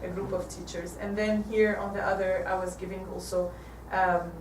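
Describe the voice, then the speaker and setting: An Egyptian woman in her forties gives a church talk with slides, halting and restarting often. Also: medium pitch, level tone, noisy background. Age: 40s. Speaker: guest speaker. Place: church hall. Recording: echoey hall from far off.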